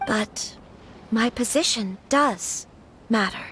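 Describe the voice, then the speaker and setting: An actress voicing a gentle, earnest young noblewoman in a video game, with a polite, delicate, hesitant way of talking. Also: fairly high pitch, slow pace, slight background noise. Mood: pensive, troubled. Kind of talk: scripted video game dialogue. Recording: music playing behind the voice.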